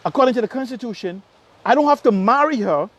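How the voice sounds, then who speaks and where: High-pitched Bahamian man speaking out emphatically. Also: animated, fast talker, fairly bright tone, muffled recording. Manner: emphatic, punctuated with rhetorical questions and repetition. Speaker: political commentator. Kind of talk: impassioned political monologue.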